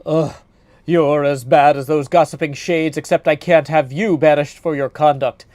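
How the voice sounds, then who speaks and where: A man's voice: on the high side, steady tone, normal speed, a little mellow, dry recording, lightly acted. A man voicing a hearty, seasoned hero's shade in a video game, chatty and old-fashioned in his phrasing. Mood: flustered, protesting.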